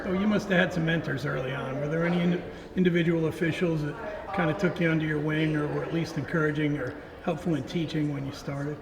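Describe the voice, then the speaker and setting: A man interviewing a guest at a tournament in a relaxed, chatty way, with many fillers. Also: on the high side, quick pace, vocal fry. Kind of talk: casual on-site interview. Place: wrestling tournament arena. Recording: echoey arena with crowd noise.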